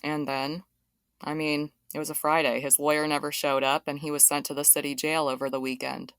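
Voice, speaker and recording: A woman's voice: sits low, little pitch movement, brisk, a bit bright, clean tone, dry recording, studio quality. A woman playing a terse police detective on the witness stand in an audio drama, guarded and matter-of-fact.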